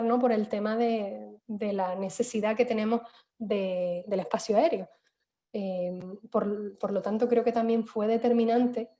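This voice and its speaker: A woman's voice: on the high side, dark tone. A woman giving a panel talk on a video call, hesitant, with many fillers and restarts. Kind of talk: online seminar talk.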